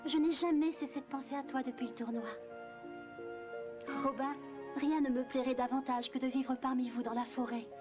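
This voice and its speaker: A woman's voice: high voice, fairly even intonation, moderate pace, lightly acted. A woman playing a bold, devoted young heroine in a French cartoon dub, sincere and earnest. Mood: tender. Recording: loud music behind the voice.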